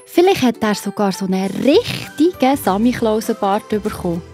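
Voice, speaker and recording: A woman's voice: medium pitch, sing-song, moderate pace, darkish tone. A woman with a Swiss accent telling a Bible story to children as the narrator. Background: music.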